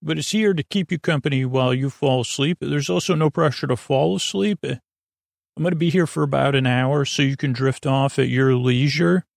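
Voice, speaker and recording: A man hosting a sleep podcast in a casual, meandering monologue, halting and repeating himself as he drifts into tangents. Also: medium pitch, creaky, no room sound, clean studio sound. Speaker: podcast host.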